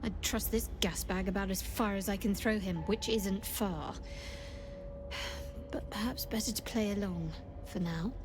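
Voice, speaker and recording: A woman's voice: medium pitch, steady tone, unhurried, noisy background, close to an everyday voice. A woman playing a wry, sceptical adventuring companion in a video game, with a dry, sardonic delivery. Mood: wry, wary.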